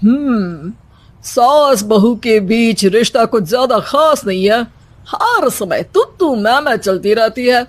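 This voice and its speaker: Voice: mid-range voice, lively intonation, takes it slowly, dry recording. A performer with an Indian accent voicing a pompous fake holy man who hands out remedies in a family story.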